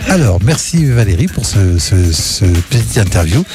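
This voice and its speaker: A man's voice: fairly low pitch, sing-song, moderate pace. A man hosting live at a racecourse, commenting in a jovial, informal, bantering way. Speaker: racecourse announcer and host. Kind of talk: live event commentary, casual chat. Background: music.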